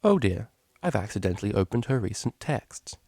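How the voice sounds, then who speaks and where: A man's voice: fairly low pitch, sing-song, moderate pace, a little mellow, breathy edge, dry recording, studio quality, full character voice. A man voicing a prim, easily exasperated young female pony cellist in a storybook reading, with fussy, formal phrasing. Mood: sheepish.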